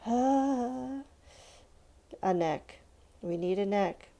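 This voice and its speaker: Woman, children's art teacher: low, very mellow voice with some gravel, informal, encouraging, talks through each step. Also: animated, extremely slow. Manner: encouraging, thinking aloud, addressing young viewers with "let's" and check-ins. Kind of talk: step-by-step drawing lesson, informal and conversational.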